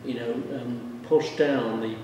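A man's voice: medium pitch, steady tone, slow, a little mellow, slight background noise. An older man, a winery owner and winemaker, talking bluntly and emphatically in a casual interview, often repeating words as he thinks. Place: large winery tasting room, indoors. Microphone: handheld mic, wide camera. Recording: echoey room from far off.

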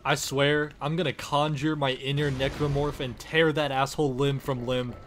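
A man's voice: mid-range voice, little pitch movement, moderate pace, a little hiss or hum. A man doing a sarcastic, profane comedic dub as the lead of a game parody. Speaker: host of abridged game parody, voicing the lead. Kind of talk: comedic character dub over gameplay.

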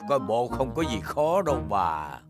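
A man's voice: mid-range voice, very animated, a little slow. A man playing a genial elderly grandfather pig in a dubbed preschool cartoon. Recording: music behind voice.

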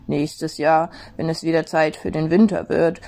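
A woman voicing a kind, wise, jolly male snowman who speaks calmly and reassuringly in a storybook reading. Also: sits low, a little slow, vocal fry, full character voice. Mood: gentle. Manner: calm, gentle, reassuring.

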